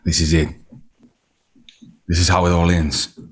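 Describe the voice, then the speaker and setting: A man reading a husky, panicky broken rancher. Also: sits low, little pitch movement, measured pace. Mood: panicked. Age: 50s. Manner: panicked, cursing, despairing. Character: broken fifties rancher son, panicky and fatalistic. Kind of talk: screenplay table read.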